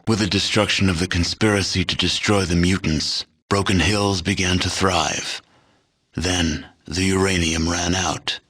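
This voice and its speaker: A solemn narrator for a post-apocalyptic game. His voice sits very deep and has a husky, grainy edge. He delivers scripted storytelling with grave, deliberate weight. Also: a bit bright, full character voice.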